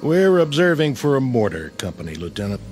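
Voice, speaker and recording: A man's voice: mid-range voice, very animated, a little fast, a little mellow, soft background music, natural voice. A man with an American accent playing a war-weary infantry sergeant in a radio drama, dry and laconic. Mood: matter-of-fact.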